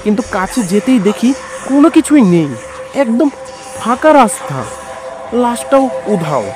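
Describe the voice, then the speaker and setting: A man tells a horror tale in the first person as a weary, frightened ambulance driver. His delivery is dramatic and suspenseful, with a very animated pitch. Music plays clearly behind him. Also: measured pace. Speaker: narrator.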